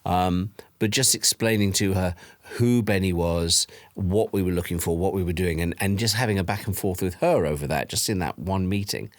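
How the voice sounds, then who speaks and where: A low-pitched man in middle age speaks as a producer looking back in a documentary interview. He talks casually and anecdotally, and the sound is close and dry. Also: normal speed, hardly any pauses, no echo. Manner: anecdotal, quoting past conversations. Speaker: audio drama producer.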